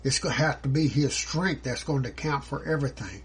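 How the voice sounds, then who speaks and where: A man's voice: medium pitch, a little fast, breathy edge. A man preaching informally, reading scripture verses and explaining them in a folksy, conversational way. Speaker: preacher and Bible teacher.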